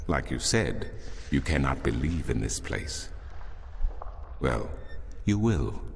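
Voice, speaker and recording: An actor playing a solemn older priest in a fantasy game, telling ancient lore in a measured, formal, portentous way. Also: male voice, very deep voice, very animated, gravelly, slight background noise, exaggerated voice. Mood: solemn, knowing. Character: solemn older male temple priest, a storytelling loremaster. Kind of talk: grand scripted fantasy narration. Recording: music behind the voice.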